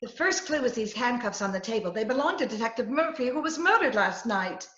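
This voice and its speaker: A woman's voice: fairly high pitch, lively intonation, a little fast, a bit bright. A woman playing a scatterbrained, eager newspaper reporter in an old-time radio comedy-mystery, stammering and chattering in a flustered way. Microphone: video call. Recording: dull, roomy video call recording.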